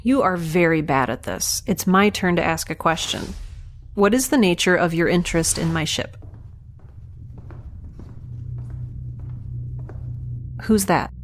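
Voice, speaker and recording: A woman's voice: lowish voice, normal speed, a little hiss or hum, close to an everyday voice. A woman playing a guarded, wry young scientist in a science fiction audio drama, speaking in a casual way. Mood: wary, defiant.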